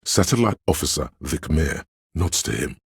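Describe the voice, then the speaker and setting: A man with a gravelly, husky voice, narrating a video game in a measured, solemn, literary and dramatic style. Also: extremely low pitch, very animated, dry recording, clean studio sound. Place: close, dry studio.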